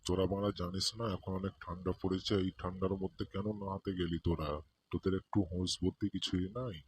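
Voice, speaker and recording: Man voicing low, rapid village uncle. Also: little pitch movement, very noisy, natural voice. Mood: scolding. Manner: scolding, colloquial. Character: scolding village uncle who gives folk remedies. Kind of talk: comic cartoon dialogue.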